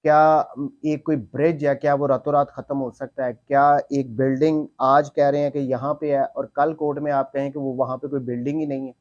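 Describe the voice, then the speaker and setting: A man's voice: mid-range voice, little pitch movement, brisk, hardly any pauses, a little mellow, no echo, lo-fi sound. A man with a Pakistani accent giving an explanatory law lecture, didactic and repeating key points, mixing in English legal terms.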